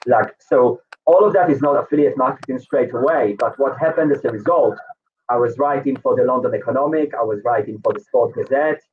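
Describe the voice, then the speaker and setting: A man's voice: medium pitch, fairly quick. A man in his thirties from Israel with an Israeli accent, a guest in an interview, telling his story casually with many fillers and restarts. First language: Hebrew. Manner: rambling, lots of fillers and restarts. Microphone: video call. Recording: distant, tinny call audio with faint noise.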